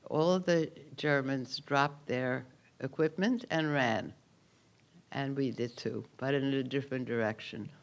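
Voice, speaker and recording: A man in his eighties with a Hungarian accent, giving a personal testimony speech at a memorial ceremony, telling his story calmly with dry understatement. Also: mid-range voice, measured pace. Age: 80s. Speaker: Holocaust survivor giving a keynote.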